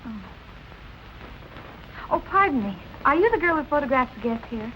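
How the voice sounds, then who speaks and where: A woman's voice: high-pitched, big pitch swings, moderate pace, pushed and bright, slight background noise, heavily acted. A woman acting a determined young amateur sleuth posing as a club photographer in 1940s film style. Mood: coaxing. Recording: dull 1940s film soundtrack with music.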